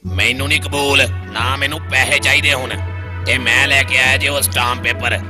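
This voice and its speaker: A man's voice: mid-range voice, fairly even intonation, moderate pace, natural voice. A man voicing a stubborn, argumentative moneylender in a comic cartoon dub, with a Punjabi accent. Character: stubborn, ruthless moneylending trader, quick to complain. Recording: music behind the voice. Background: music.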